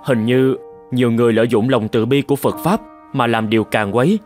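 A man plays an earnest boyish novice monk in a cartoon. He is deferential and polite, quick to fret, in a mid-pitched voice with faint music behind. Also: steady tone, a little mellow, close to an everyday voice. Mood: respectful, troubled. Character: earnest young novice monk, respectful, easily upset. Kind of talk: dramatic cartoon voice acting.

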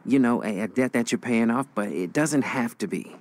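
An actor playing a young crew boss in a video game, cocky but earnest, with a casual, slangy way of talking. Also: male voice, medium pitch, moderate pace, darkish tone, slight background noise, natural voice. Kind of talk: video game cutscene acting.

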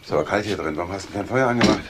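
A man's voice: low voice, racing, heavy background noise, lightly acted. An actor playing a composed, practical, protective businessman brother in a German crime radio drama. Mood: brisk, practical.

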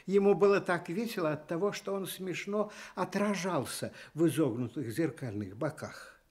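A man telling a children's fairy tale for Soviet radio in an expressive storytelling style. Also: on the high side, normal speed, lightly acted.

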